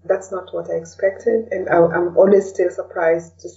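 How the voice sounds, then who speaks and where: A woman from Kenya speaking as a podcast guest in a casual, reflective way, often pausing to search for words. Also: fairly low pitch, level tone, creaky, warm tone. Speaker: interview guest, blogger and podcaster. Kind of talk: casual conversational interview. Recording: distant, dull lo-fi call sound.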